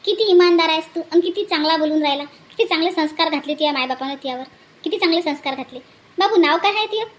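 Woman as very high-pitched, gushing village auntie. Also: quick pace. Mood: admiring. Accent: Vidarbha Marathi. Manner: gushing, insistent, repeats herself. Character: warm-hearted, admiring older village auntie. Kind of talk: comedy cartoon dialogue.

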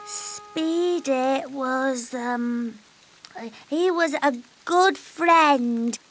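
A tiny cartoon princess with a very high, childlike voice. She speaks very slowly and simply, earnest and demanding as a small girl. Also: a bit bright, slight background noise, full character voice. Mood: sad, wistful. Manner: slow, simple, halting child speech. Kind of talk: preschool cartoon voice acting.

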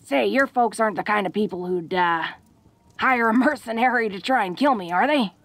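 A woman playing a tough but awkward, affectionate young orc woman in an intimate roleplay audio drama, talking in a folksy, halting way. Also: fairly high pitch, fairly bright tone, dry recording. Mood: sheepish, teasing. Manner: folksy, halting tough-girl talk with small chuckles.

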